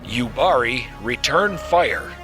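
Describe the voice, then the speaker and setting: A brisk starship captain, acted by a man, giving orders and asking questions with lively, swinging intonation. It is sci-fi audio drama, with clear music behind the voice. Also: mid-range voice. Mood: commanding, urgent. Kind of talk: fan audio drama acting.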